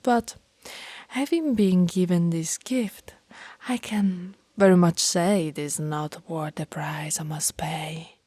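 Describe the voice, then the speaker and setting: A woman voicing a tormented, lovesick, centuries-old female vampire in a slow whispered ASMR roleplay, with theatrical, formal old-fashioned phrasing. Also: fairly low pitch, warm tone, slightly rough, no echo, studio quality. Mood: anguished, regretful. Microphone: close mic, dry.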